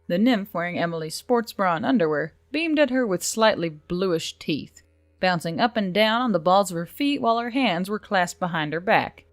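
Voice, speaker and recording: A woman's voice: lowish voice, expressive, moderate pace, no room sound, soft background music. A woman reading scripted fantasy fiction as an audiobook narrator.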